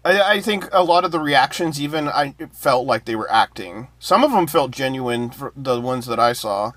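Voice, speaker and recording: A man's voice: mid-range voice, expressive, normal speed, fairly bright tone. A man in casual conversation, talking in a hedging, skeptical way. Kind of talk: casual chat.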